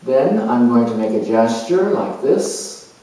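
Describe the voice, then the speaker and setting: Casting: male lecturer, unhurried and deliberate, explaining with long pauses, heard from a distance in a reverberant space. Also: mid-range voice, big pitch swings, warm tone. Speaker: astrologer, presenter. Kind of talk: measured explanatory lecture introducing a performance. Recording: very echoey room, distant mic.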